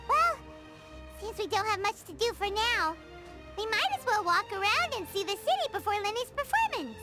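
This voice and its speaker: A tiny, excitable fairy companion from a video game, with an extremely high, squeaky little-kid voice. The delivery swoops up and down in exclamations, with music playing prominently behind it. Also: female voice, moderate pace. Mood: suspicious. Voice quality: squeaky, childlike. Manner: refers to self in third person, exclamatory. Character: small, excitable, childlike female fairy companion. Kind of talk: animated video game voice acting.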